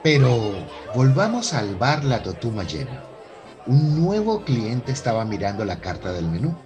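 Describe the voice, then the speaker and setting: Male narrator, radio soap, widely swinging intonation, mid pitch, music underneath.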